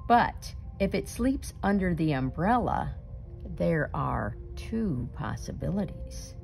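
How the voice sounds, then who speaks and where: A woman reading a children's picture book aloud expressively, with deliberate, dramatic emphasis and suspenseful pauses. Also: fairly low pitch, sing-song, vocal fry, darkish tone, faint music behind.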